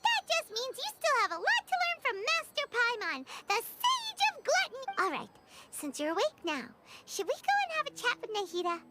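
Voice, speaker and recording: A woman voicing a small, boastful, excitable fairy companion in a video game, squeaky, childlike and theatrical. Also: brisk, heavy background noise, exaggerated voice. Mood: boastful, teasing. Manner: perky, theatrical.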